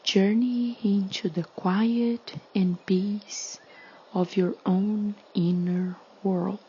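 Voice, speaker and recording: A woman leading a guided meditation in a soothing, hushed, breathy delivery with long pauses. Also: mid-range voice, fairly even intonation, lo-fi sound.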